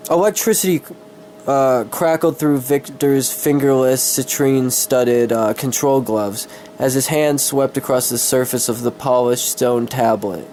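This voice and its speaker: A man with a cold doing a dramatic story reading, halting and easily distracted, with casual swearing. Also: medium pitch, fairly even intonation, darkish tone. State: sick with a cold.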